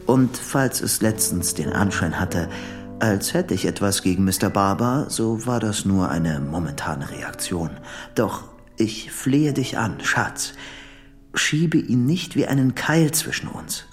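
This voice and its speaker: A man's voice: fairly low pitch, lively intonation, slow pace, soft background music. An actor in a radio drama playing an obsessive, deluded lovesick man, intense and insistent. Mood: pleading.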